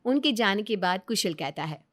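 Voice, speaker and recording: A woman's voice: mid-range voice, lively intonation, moderate pace, a bit bright. A woman storyteller reading a scripted moral family story as narrator. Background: background music.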